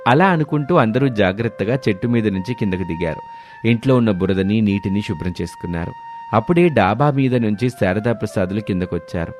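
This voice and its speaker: A man's voice: lowish voice, animated, brisk, a little mellow, a little hiss or hum. A man narrating a scripted moral story as its storyteller.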